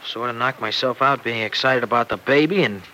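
An actor playing an earnest, anxious young farmer husband in an old-time radio drama, with a halting, tender way of talking. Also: male voice, mid-range voice, pushed and bright, natural voice. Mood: evasive, weary. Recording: noisy vintage radio recording.

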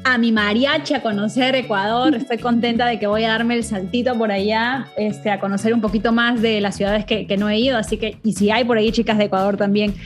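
A woman's voice: on the high side, steady tone, a little fast, few pauses, soft background music. A woman in her thirties from Peru, hosting a chatty, gossipy podcast conversation. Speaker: podcast host. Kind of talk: casual chat.

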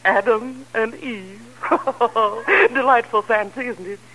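A man's voice: very high voice, slow pace, sharp tone, a little hiss or hum, heavily acted, low-quality recording. An actor playing a fussy, apologetic insurance man who stutters and repeats himself, in old radio comedy style. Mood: gossipy, amused. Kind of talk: 1940s radio comedy acting.

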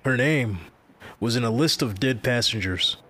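Guy doing a calm middle-aged man, even and reasonable, audio drama. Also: medium pitch, natural voice. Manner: measured, reasonable.

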